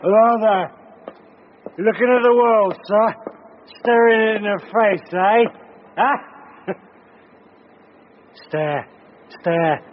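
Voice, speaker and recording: An actor playing a drunken vagrant philosopher in a radio drama, rambling, repetitive and cryptic, with chuckles. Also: male voice, high voice, slow pace, pauses often. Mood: tipsy, cryptic. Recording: muffled radio recording, faint noise.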